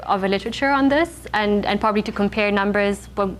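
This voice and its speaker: A woman's voice: medium pitch, quick pace, a little hiss or hum. Lecturer woman fielding questions. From Zambia and South Africa. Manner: thoughtful, agreeable, some restarts. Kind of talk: answering audience questions. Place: lecture room.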